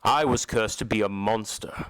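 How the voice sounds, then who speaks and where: A man playing a tormented, embittered masked opera recluse in an audio drama, telling his story in a slow, solemn, dramatic way. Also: on the low side, a bit bright, smooth, no room sound, close to an everyday voice. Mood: anguished. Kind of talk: amateur audio drama acting.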